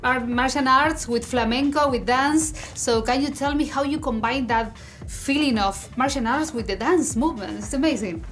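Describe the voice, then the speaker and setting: A woman's voice: sits high, moderate pace, a bit bright, soft background music. A woman with Spanish as her first language, hosting an entertainment interview with effusive enthusiasm.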